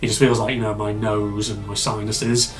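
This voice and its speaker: A man hosting a casual reaction video, rambling and chatty with lots of fillers, his voice slightly hoarse and congested from a cold. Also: fairly low pitch, little pitch movement, normal speed, faint music behind.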